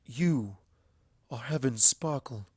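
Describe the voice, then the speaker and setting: A regal alicorn princess, as a narrator plays her, grave and gentle. She speaks in a measured, unhurried way suited to a fantasy audiobook. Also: medium pitch, animated, breathy edge, no echo. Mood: solemn. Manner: solemn, measured.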